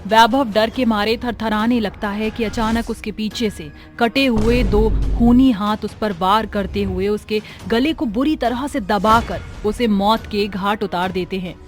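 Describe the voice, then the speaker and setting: A female narrator reads a horror tale in a dramatic storytelling style. Her pitch is average for a woman, and music plays softly beneath. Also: unhurried, hardly any pauses. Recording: music under the voice.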